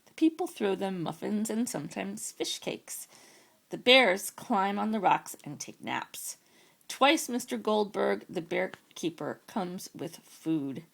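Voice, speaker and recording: A woman's voice: mid-range voice, expressive, takes it slowly, vocal fry, fairly bright tone. A woman reading a children's storybook aloud as a parent does at bedtime.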